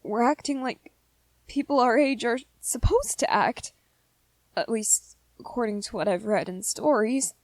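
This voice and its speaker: A woman voicing a gentle, lovestruck young vampire man in a romantic ASMR roleplay, earnest and hesitant, with small stammers. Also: fairly high pitch, measured pace, no echo. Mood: excited, wistful.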